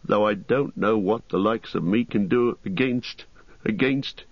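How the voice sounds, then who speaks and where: A male narrator plays a fussy middle-aged country innkeeper for a fantasy storybook. The pitch jumps high and swings about, with a husky edge. He chatters in folksy, rustic phrases, easily flustered. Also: expressive, measured pace, no echo, muffled recording. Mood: anxious, helpful.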